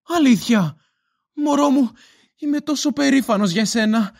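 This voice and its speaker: A man's voice: very high voice, vocal fry, no room sound, studio quality, heavily acted. Audio drama: actor as loving, eager man, tender and coaxing with his sweetheart. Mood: tender, proud.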